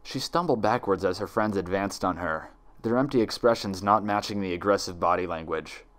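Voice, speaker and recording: Guy reading fan fiction out loud, lowish voice, dramatic scripted narration. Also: fairly quick.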